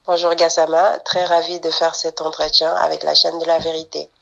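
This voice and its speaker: Woman athlete from Senegal, gravelly voice, halting interview delivery. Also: low voice, monotone, a bit bright. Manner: hesitant, many fillers and restarts. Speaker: fencer, olympic athlete. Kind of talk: interview, telling her story. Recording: dull lo-fi sound.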